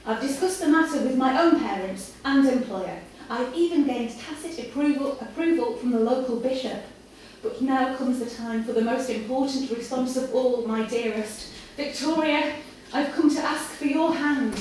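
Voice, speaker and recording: A woman reading the part of a meek, earnest young gentleman suitor, hesitant, formal and pleading. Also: high-pitched, moderate pace, lightly acted. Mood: earnest, nervous. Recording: echoey, distant camera mic, room noise.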